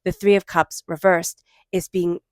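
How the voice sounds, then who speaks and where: Lady with a stuffy cold doing a gentle tarot reading, lowish voice, soft slow chants, dry sound. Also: little pitch movement, dry recording. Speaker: tarot reader.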